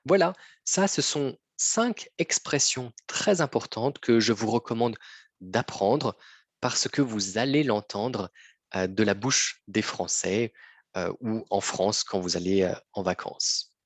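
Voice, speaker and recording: Slow, husky-voiced man teaching. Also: lowish voice, no room sound. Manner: patient, repeats phrases, often checks with "d'accord?". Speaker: French teacher. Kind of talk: slow lesson for learners.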